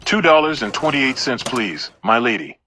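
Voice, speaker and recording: A man's voice: mid-range voice, sing-song, moderate pace, sharp tone, slight background noise. A man playing an oblivious, leering convenience store clerk in an audio play, casual and overfamiliar. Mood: leering, smarmy.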